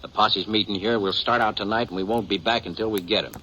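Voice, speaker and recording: An actor playing a steady American Western sheriff in a 1950s radio drama, speaking in a firm, reassuring way. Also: male voice, on the low side, quick pace. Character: gruff, steady Western sheriff consoling a widow. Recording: muffled, noisy 1950s radio recording.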